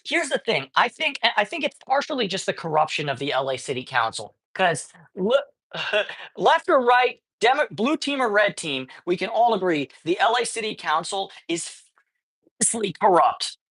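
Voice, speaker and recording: A man's voice: high-pitched, sing-song, moderate pace, a bit bright, breathy edge. A man chatting casually on a livestream, ranting and swearing as he talks, with lots of false starts and restarts. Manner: ranting, profane, rambling with many restarts. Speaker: livestreamer and cat rescuer. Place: cat colony.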